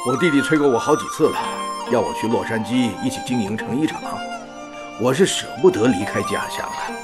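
A man's voice: medium pitch, wildly swooping pitch, fairly quick. An actor playing a kindly, worldly older father in a radio drama, with a folksy, avuncular manner. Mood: concerned, persuasive. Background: music.

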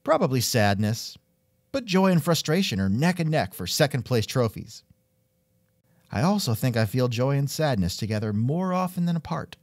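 Wry male host, very lively delivery. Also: medium pitch, moderate pace, no echo, studio quality. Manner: wry, joking. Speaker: podcast host and interviewer. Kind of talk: scripted comedic interview.

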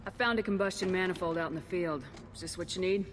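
An actress playing a composed human military commander in a video game, direct and questioning. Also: female voice, lowish voice, fast talker, music in the background, lightly acted. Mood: composed, inquiring. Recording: clear ambient background noise.

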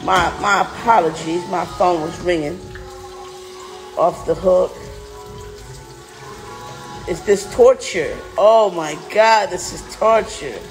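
A man's voice: high-pitched, slow pace, long pauses, low-quality recording. A middle-aged man hosting a casual phone livestream in a big home kitchen, exclaiming and narrating the food he sees. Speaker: livestream host. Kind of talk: casual live-stream chat. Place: large home kitchen, indoors. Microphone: phone held in hand. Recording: music playing in the room.

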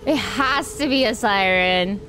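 A woman's voice: mid-range voice, a little slow, sharp tone. A woman from the Philippines, giving chatty, giggly reaction commentary while playing a video game. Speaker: gaming streamer. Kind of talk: let's play reaction commentary. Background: game music.

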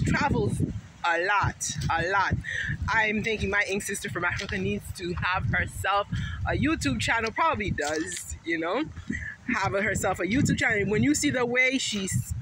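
A middle-aged woman from Haiti, vlogging casually in a chatty, rambling, emphatic way while walking through a park. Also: mid-range voice. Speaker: vlogger and teacher. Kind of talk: casual talk to camera. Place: sunny city park outdoors. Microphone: phone held at arm's length. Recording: noisy outdoor phone recording.

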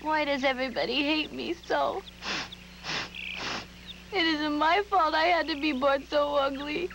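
A woman voicing a timid, sad little duckling in an animated fairy tale, plaintive and whimpering. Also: high voice, unhurried, low-quality recording. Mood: meek, hurt. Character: sad, timid little orphan duckling, self-pitying and meek.

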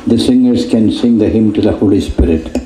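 A man acting as master of ceremonies, giving brisk instructions to a congregation and switching between languages. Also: lowish voice. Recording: echoey, dull hall sound.

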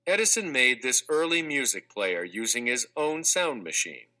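Guy narrating a kids textbook, super bright crisp voice, lots of up and down, measured and expressive. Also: mid-range voice. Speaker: audiobook narrator. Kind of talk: scripted read-aloud of a children's textbook.

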